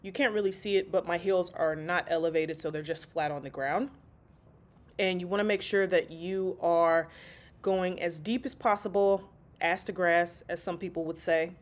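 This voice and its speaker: A woman's voice: on the low side, normal speed. A stuffy, congested woman chatting casually through a workout voice-over, calling her viewers y'all. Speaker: fitness vlogger.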